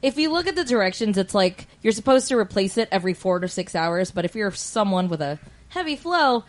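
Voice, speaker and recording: A woman's voice: medium pitch, big pitch swings, a little fast. A woman in casual podcast chat, talking frankly and swearing freely as a co-host.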